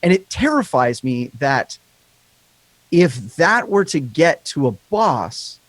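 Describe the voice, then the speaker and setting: A man with a higher-pitched voice and a slight lisp, talking candidly and rambling in a casual podcast chat, swearing freely. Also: very animated, slow, dry recording, rough recording.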